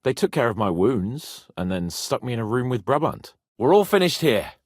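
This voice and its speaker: An actor playing a brash, petulant young medieval nobleman in a video game, with a husky voice and a pompous, sardonic manner. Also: medium pitch, big pitch swings, moderate pace, dry recording, studio quality. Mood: matter-of-fact. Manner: pompous, sardonic, swearing freely.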